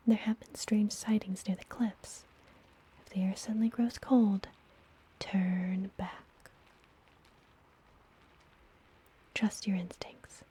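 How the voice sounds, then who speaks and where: Slow, whispering witch healer, acted by a woman. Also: medium pitch, poor audio. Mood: fond, wistful. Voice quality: soft breathy whisper. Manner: slow, gentle, soothing, deliberate. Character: friendly, mysterious, teasing witch healer of a forest apothecary. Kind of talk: whispered ASMR fantasy roleplay.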